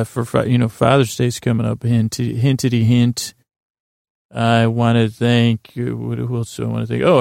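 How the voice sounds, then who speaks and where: A man hosting a sleep podcast, rambling through a bedtime monologue in a halting, meandering, self-interrupting way, with whimsical self-deprecation. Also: mid-range voice, gravelly, a little mellow, dry recording, clean studio sound.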